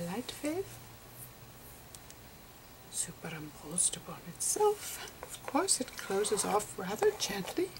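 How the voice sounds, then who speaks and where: A woman giving an ASMR lecture in a breathy whisper, speaking in halting short phrases. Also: mid-range voice, low-quality recording. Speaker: ASMR performer playing a physicist. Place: close over a chalkboard on a table indoors.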